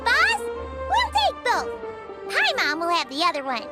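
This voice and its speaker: Very high, childlike little flying fairy. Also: female voice, huge pitch swings, a little slow, over music, exaggerated voice. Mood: excited. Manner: refers to self in third person, exclamatory. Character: tiny flying childlike fairy companion, excitable and greedy. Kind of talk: animated game cutscene acting.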